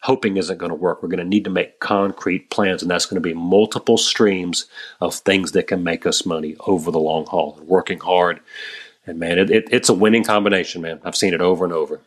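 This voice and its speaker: A man in his thirties, a guest on a podcast, giving energetic, emphatic advice and often calling the host "man". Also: deep voice, brisk. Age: 30s. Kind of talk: podcast conversation.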